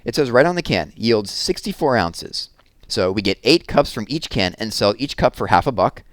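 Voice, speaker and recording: A man's voice: medium pitch, very animated, moderate pace, no echo, clean studio sound, close to an everyday voice. A man voicing an eager, anxious eight-year-old girl in a children's storybook, with an earnest, chatty manner. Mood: confident, explaining. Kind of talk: children's storybook read-aloud.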